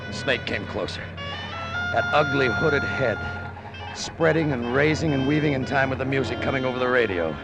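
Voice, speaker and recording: An actor playing a desperate, terrified crooked pilot in an overwrought old-time radio drama style. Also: male voice, medium pitch, normal speed, gravelly, over loud music, natural voice. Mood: tense narration. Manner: overwrought, breathless intensity. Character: desperate, terrified crooked pilot telling his own tale.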